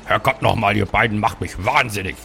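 A man's voice: lowish voice, fairly quick, creaky, sharp tone, soft background music. A man playing a weary, cautious, placating brother in an improvised fantasy role-play audio drama. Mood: pleading, fed up.

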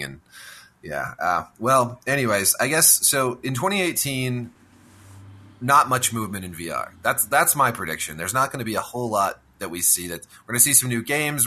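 Deep, bright-edged man chatting casually. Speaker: podcast co-host. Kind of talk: casual discussion of games and tech.

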